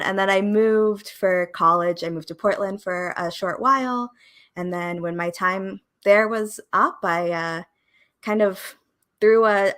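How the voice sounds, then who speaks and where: A young woman from Oregon, in her twenties, talks through her story in an easygoing, rambling way. She leans on fillers and small laughs. Her voice sits a little low for a woman. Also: pushed and bright. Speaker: guest, podcast producer. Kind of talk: casual conversation interview.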